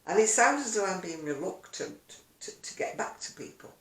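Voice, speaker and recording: A woman's voice: lowish voice, very animated, moderate pace, fairly bright tone, slight background noise. An older woman chatting casually to camera as a video blogger, rambling along with self-deprecating asides in an echoey room. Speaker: video blogger and craft seller.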